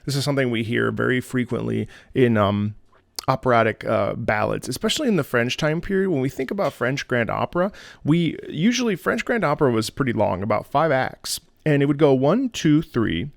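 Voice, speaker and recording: A man's voice: mid-range voice, animated, fairly quick, creaky, dry recording, clean studio sound. A man hosting a music reaction video, an opera singer who talks enthusiastically and sings phrases along with the music. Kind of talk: casual music analysis.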